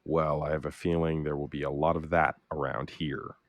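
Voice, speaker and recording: A man's voice: very deep voice, flat delivery, moderate pace, no room sound, highs cut off. A man acting a guarded, self-blaming fugitive in an audio drama, hesitant and subdued with a sardonic edge. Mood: earnest. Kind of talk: naturalistic audio drama dialogue.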